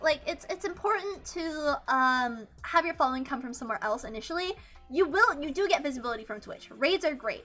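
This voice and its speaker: A woman in her twenties with a high-pitched voice, chatting casually on a livestream and swearing freely, rambling with many restarts. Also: sharp tone. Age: 20s. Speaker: VTuber streamer.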